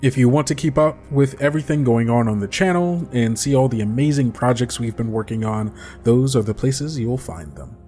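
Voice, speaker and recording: A man's voice: medium pitch, lively intonation, normal speed, hardly any pauses, warm tone, soft background music. A man hosting an actual play podcast, giving an upbeat promotional read that appeals directly to listeners. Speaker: podcast host.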